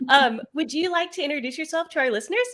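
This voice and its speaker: A woman's voice: high voice, expressive, quick pace, fairly bright tone. A woman hosting a podcast, asking questions in an upbeat, enthusiastic manner. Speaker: podcast host. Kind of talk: conversational podcast interview.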